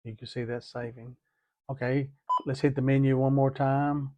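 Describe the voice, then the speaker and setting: Man, DIY demonstrator, even intonation, methodical, step-by-step tutorial talk, repeats steps. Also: mid-range voice, moderate pace, dry recording, dull audio. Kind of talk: hands-on step-by-step tutorial narration.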